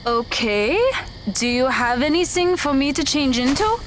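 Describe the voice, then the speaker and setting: A woman's voice: sits high, animated, a little slow, slight background noise. A woman acting in an audio drama as a weary, guarded runaway teenage magical girl who answers tersely. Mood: subdued.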